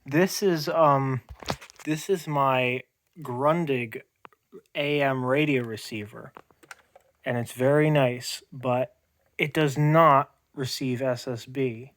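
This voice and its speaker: A man giving an unscripted show-and-tell as an amateur radio hobbyist, halting and often repeating and rephrasing himself. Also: mid-range voice, fairly even intonation, a bit bright, no room sound. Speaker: amateur radio hobbyist demonstrating homemade gear.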